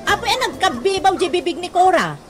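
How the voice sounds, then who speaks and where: Woman as high-voiced, admonishing older sister. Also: animated, a little fast, faint music behind. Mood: concerned, admonishing. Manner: reproving, advising. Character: concerned, admonishing older sister advising her brother. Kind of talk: comedy radio drama acting in Ilocano.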